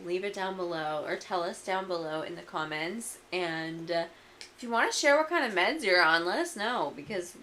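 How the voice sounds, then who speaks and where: A woman's voice: lowish voice, expressive, normal speed, sharp tone, slight background noise. A woman co-hosting a casual podcast, chatting in an easygoing way with lots of fillers. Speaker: podcast co-host. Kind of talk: casual chat.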